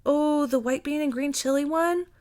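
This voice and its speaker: A woman's voice: high-pitched, normal speed, darkish tone, voice pushed up, natural voice. A woman voicing a cheerful, goofy young man in an audiobook, playful and wheedling.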